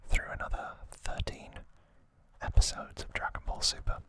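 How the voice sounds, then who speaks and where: A man hosting ASMR in a breathy, almost entirely unvoiced whisper, speaking gently and unhurriedly to his viewers. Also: normal speed. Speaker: ASMR host.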